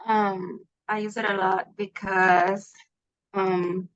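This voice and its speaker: A native Spanish-speaking woman with a Spanish accent, chatting casually in an online class. She speaks slowly with plenty of creak, over slightly dull call audio. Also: medium pitch, flat delivery. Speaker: student. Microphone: video call mic.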